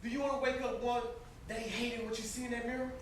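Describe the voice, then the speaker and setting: A man's voice: extremely high pitch, little pitch movement, fast. A young man acting an anguished, conscience-stricken brother in a stage play, earnest and pleading. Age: young adult. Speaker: student stage actor. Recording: distant, very echoey, noisy stage recording.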